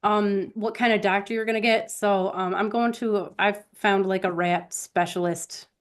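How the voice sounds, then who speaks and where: A woman's voice: mid-range voice, fairly even intonation, moderate pace. Chatty woman telling casual stories. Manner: rambling storyteller who acts out quoted conversations. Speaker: podcast co-host. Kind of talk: casual anecdotal chat.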